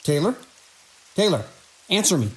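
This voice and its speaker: A man playing a weary, alarmed hospital director in an audio drama, speaking grave, deliberate log entries in clipped sentences. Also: sits high, sing-song, slight background noise, rough recording. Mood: urgent, alarmed. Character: weary, alarmed hospital director recording logs during an outbreak.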